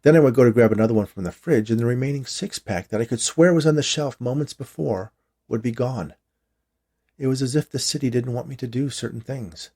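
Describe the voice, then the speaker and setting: A man's voice: medium pitch, dark tone. A man reading his own short story aloud as a scripted first-person narration.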